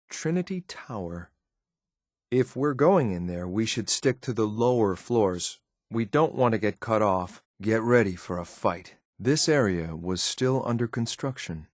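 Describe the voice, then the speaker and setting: An actor playing a cold, loyal synthetic enforcer in a video game, speaking in a clipped, formal, deadpan and disdainful way. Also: male voice, lowish voice, dry recording, studio quality. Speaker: video game companion character. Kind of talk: scripted game voice lines.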